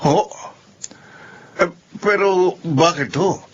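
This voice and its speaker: A man's voice: high voice, extremely slow, slightly rough, exaggerated voice. A man with a Filipino accent acting an honest engineer and loving husband in a radio drama, earnest and heartfelt. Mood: stunned. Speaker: voice actor. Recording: dull lo-fi audio with faint noise.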